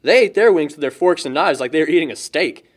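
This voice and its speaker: A man's voice: fairly high pitch, animated, quick pace. A young man playing a lighthearted, chatty, lovestruck guy in a casual audio drama, joking and rambling. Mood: chatty, amused. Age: young adult.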